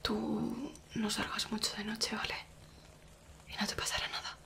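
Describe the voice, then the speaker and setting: A young woman from Spain with a Spanish accent, voicing a gentle, protective werewolf woman in an ASMR roleplay, whispering softly and coaxingly into a close mic. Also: medium pitch, monotone, gravelly. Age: young adult. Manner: soft reassuring whisper, slow and coaxing. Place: small indoor room dressed as a cabin.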